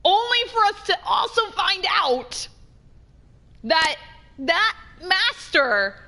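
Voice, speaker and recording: Bright and high, a woman talks over faint game music as a let's play host. Her commentary is casual and excitable, often doubling up phrases for emphasis. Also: takes it slowly. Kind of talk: casual gameplay reaction commentary.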